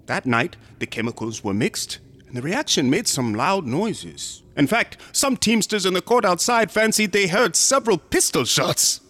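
Theatrical, sinister museum assistant, swooping delivery. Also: male voice, high voice, lively intonation, normal speed, fairly bright tone. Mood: smug, secretive. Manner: courteous, insinuating, theatrical, lapses into whispers. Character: sinister, smug, unctuous museum assistant guarding macabre exhibits. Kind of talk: horror radio drama acting.

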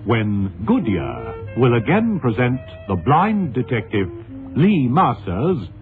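Dramatic old-time radio announcer, sweeping pitch. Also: male voice, huge pitch swings. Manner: formal, deliberate. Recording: phone-like old radio recording, music behind. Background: music.

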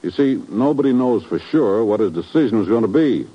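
Worried bureau chief, deep voice, urgent and businesslike, radio play, muffled vintage recording. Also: male voice, expressive, brisk, husky. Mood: urgent, grave. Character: gruff, worried intelligence bureau chief, a man. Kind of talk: 1950s American radio drama acting.

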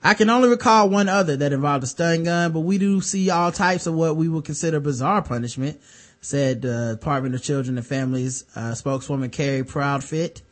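A man's voice: fairly high pitch, a little fast. A man with a light voice hosting a casual podcast, reading news stories aloud and breaking off for wry, sarcastic comments. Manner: wry, sarcastic asides between read-out passages. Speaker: podcast host.

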